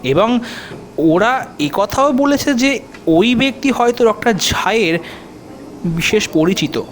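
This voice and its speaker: An actor playing a confident, helpful police officer in an audio detective drama, explaining in a measured way with English terms mixed in. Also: male voice, high-pitched, expressive, slight background noise. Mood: serious, explanatory. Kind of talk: audio drama acting in Bengali detective story.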